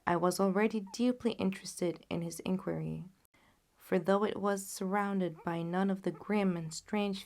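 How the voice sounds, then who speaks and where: A woman's voice: lowish voice, darkish tone. A woman reading a classic story aloud as scripted audiobook narration. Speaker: audiobook reader.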